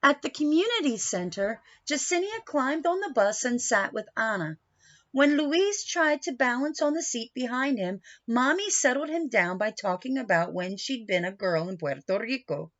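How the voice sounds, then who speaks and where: A woman reading a picture book to young children like a teacher, pausing to explain and put questions to her listeners. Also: a little high, lively intonation, a bit bright, highs cut off. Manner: instructive, questioning, addresses young listeners directly.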